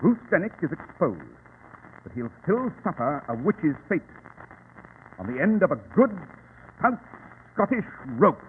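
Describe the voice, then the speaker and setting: Brilliant detective for vintage radio drama: coolly authoritative, lively swooping pitch, formal diction, tinny old broadcast sound. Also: male voice, measured pace, long pauses. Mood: grave, triumphant. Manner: precise, formal, didactic explaining. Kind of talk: 1940s radio drama acting. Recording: tinny, hissy 1940s radio recording.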